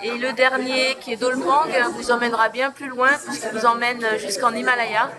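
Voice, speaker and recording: A woman with a fairly high voice presents her novels like a storyteller, pausing on fillers as she goes. A loud crowd of book fair visitors surrounds her. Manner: lively storyteller with many fillers. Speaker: author.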